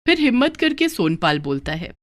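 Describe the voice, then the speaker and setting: A woman's voice: fairly low pitch, big pitch swings, moderate pace. A woman storyteller reading scripted narration for a children's moral tale.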